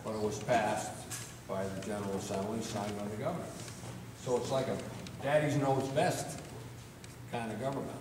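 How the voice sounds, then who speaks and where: A man's voice: lowish voice, steady tone, normal speed, pauses often. Older man, frustrated, in an echoey hall. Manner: frustrated, conversational, many fillers. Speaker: state legislator. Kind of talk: speaking at a town hall meeting. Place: town hall meeting room. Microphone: far room camera. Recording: echoey hall, distant mic, noisy.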